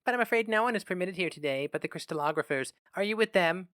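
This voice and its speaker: A man's voice: high voice, lively intonation, quick pace, a bit bright, no echo, studio quality, voice pushed up. A man voicing a courteous, chirpy female receptionist in a story reading. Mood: welcoming, polite.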